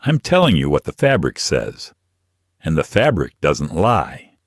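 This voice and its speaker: A man voicing a composed, firm female shop owner in an audiobook, speaking calmly and precisely. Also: a bass voice, a little slow, no echo, studio quality, heavily acted. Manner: calm, precise, unyielding.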